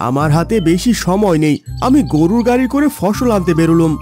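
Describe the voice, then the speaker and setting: A man voicing a brisk, loud village farmer husband in a cartoon story. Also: high voice, expressive. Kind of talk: Bengali cartoon moral-story voice acting. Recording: music behind the voice.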